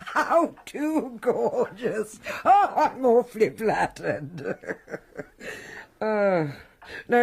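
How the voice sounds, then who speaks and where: An actress playing an eccentric, shrewd, teasing lady sleuth in a period radio drama, with an arch, husky upper-class English drawl. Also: fairly low pitch, exaggerated voice.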